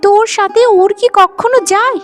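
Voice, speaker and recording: A woman's voice: very high voice, moderate pace, faint music behind. A woman voicing a vain, spiteful young bride in a melodramatic cartoon, with a showy, taunting manner. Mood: scornful.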